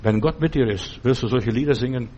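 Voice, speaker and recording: A man's voice: mid-range voice, brisk, dark tone, muffled recording. An older man preaching an earnest, exhorting sermon, speaking straight to the listener and repeating phrases for emphasis.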